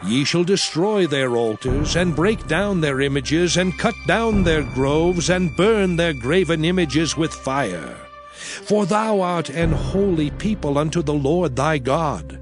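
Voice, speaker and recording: A man's voice: fairly high pitch, animated, takes it slowly. An actor playing a solemn, commanding prophet who addresses his people in dramatized, oratorical scripture with archaic English. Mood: solemn warning. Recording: loud music bed under voice. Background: music.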